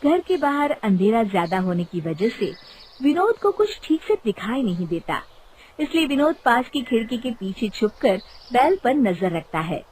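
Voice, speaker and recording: A woman's voice: on the high side, very animated, normal speed, darkish tone, slight background noise, rough recording. A woman reading scripted narration for a moral storybook cartoon.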